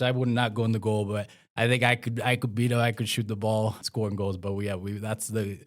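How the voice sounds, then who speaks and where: A young man from Mexico, a former pro soccer player, talking in a casual interview with many fillers and restarts. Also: fairly low pitch.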